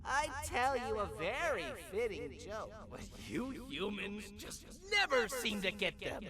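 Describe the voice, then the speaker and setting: A man playing a theatrical, self-obsessed jester creature in a video game, desperate for laughs and swinging between hushed brooding and shrieking. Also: high-pitched, big pitch swings, a little slow, a crowd in the background. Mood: gloating, manic. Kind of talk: video game villain monologue.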